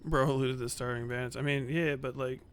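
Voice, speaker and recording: A man's voice: medium pitch, fairly even intonation, moderate pace, slight background noise. A man streaming a video game, grumbling and swearing to himself as he plays. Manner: profane, grumbling, talking to himself. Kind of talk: casual gameplay commentary.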